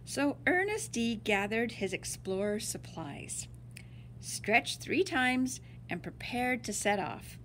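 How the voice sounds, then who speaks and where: Woman, storybook read-aloud for kids: animated, dramatic word emphasis, slow pacing, faint noise behind. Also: fairly high pitch, poor audio. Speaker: teacher reading to children.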